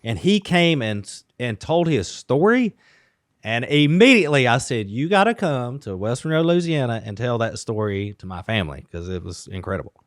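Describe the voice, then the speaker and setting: A man co-hosting a podcast, telling stories in a lively, casual way and laughing often. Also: mid-range voice, normal speed, no room sound, studio quality. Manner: animated storyteller, laughs often. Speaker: podcast co-host. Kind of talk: casual chat.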